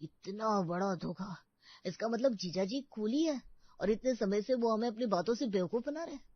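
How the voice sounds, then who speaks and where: Woman plays young brother in a cartoon tale, earnest, indignant, mid-pitched, dry dulled sound. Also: expressive, normal speed, dry recording, lo-fi sound, natural voice. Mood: indignant, urgent.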